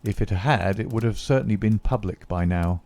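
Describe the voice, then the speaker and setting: A man voicing a cool, confident male detective who speaks in a calm, dry, understated way. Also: fairly low pitch, moderate pace, vocal fry.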